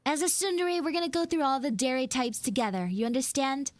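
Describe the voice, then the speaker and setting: An expressive tsundere frog girl, acted by a woman in a live audio roleplay. She talks high and emphatically with a bossy, animated edge. The sound is dry. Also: fairly quick, no room sound, close to an everyday voice. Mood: bossy, emphatic.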